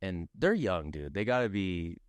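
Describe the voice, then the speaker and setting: A man's voice: sits low, very animated, normal speed, clean tone, no echo. A man chatting and telling stories casually as a podcast co-host, full of slang and swearing. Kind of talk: casual chat and storytelling.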